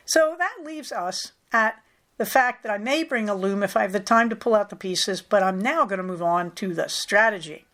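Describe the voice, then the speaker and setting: A woman's voice: fairly high pitch, a bit bright. Chatty woman with a cold. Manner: chatty, matter-of-fact, wry asides. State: recovering from a cold. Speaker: knitting podcast host. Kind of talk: casual chatty project update.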